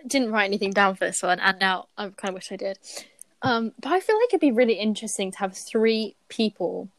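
A woman's voice: medium pitch, animated, a little fast, no echo, poor audio. A young woman hosting a podcast, chatting informally and slangily with friends. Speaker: podcast host reading out questions. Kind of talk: casual chat among friends.

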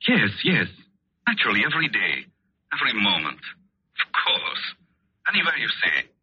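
A man acting a tender, wistful painter in love in a 1940s-style radio drama, speaking softly and hesitantly. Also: lowish voice, huge pitch swings, moderate pace, husky, no room sound, heavily acted. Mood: eager, tender. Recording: dull old radio recording, music behind.

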